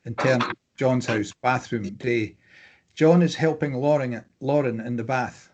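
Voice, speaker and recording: A man's voice: medium pitch, a little slow. A man reading stage directions as scripted narration at a drama table read.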